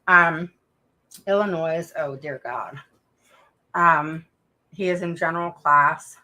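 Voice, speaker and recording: A woman's voice: low-pitched, slow, bright, forceful tone, rough recording. A middle-aged woman hosting a casual live stream for parents, reading out viewer comments in a frank, talkative way with lots of fillers and restarts. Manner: calls herself a roaring mama advocate. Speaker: host of a live stream for special education parents.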